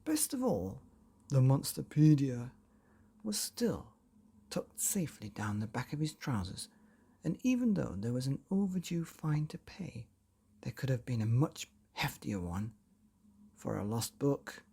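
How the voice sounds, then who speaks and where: A man hosting and narrating a children's bedtime story in a dramatic storyteller's delivery with long pauses. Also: medium pitch, very animated, creaky, warm tone, breathy edge. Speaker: bedtime story podcast host and reader.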